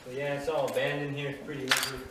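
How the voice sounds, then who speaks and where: A man's voice: mid-range voice, completely flat delivery, quick pace, very noisy. A young man hosting a casual vlog outdoors, talking in a rambling, joking way with lots of fillers, picked up by a handheld camera mic. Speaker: vlog host. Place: outdoors at an abandoned base. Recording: music loud behind the voice.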